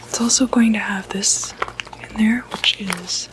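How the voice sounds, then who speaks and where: A woman whispering softly and breathily in an unhurried, hesitant ASMR roleplay as a doctor. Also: medium pitch, fairly even intonation, heavy background noise. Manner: soft-spoken, unhurried, hesitant pauses. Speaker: ASMR performer role-playing a doctor.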